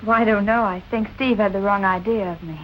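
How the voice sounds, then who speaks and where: An actress playing a stubborn, determined young amateur sleuth, brisk and self-possessed, in 1940s film noir style. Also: female voice, mid-range voice, fairly even intonation, dull audio, lightly acted. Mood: flustered. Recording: noisy old film soundtrack.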